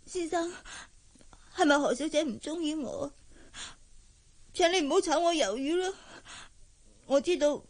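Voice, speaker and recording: A meek, high-voiced young housemaid. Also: female voice, measured pace, pauses often. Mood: pleading. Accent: Hong Kong. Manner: timid, deferential, plaintive. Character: meek, humble young housemaid from a poor family. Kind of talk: Cantonese radio drama acting.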